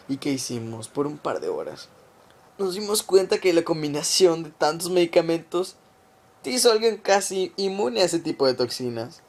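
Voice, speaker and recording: A man's voice: a little high, a little mellow, natural voice. Man acting as a menacing, gloating government conspirator. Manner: menacing, deliberate, taunting a victim. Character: cold gloating male government conspirator confessing his plot. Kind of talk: amateur radio drama monologue.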